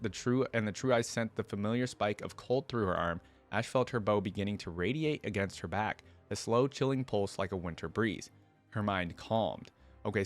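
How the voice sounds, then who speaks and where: A man hosting a reaction video, reading a fantasy story aloud and adding casual, conversational commentary with asides and questions. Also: fairly low pitch.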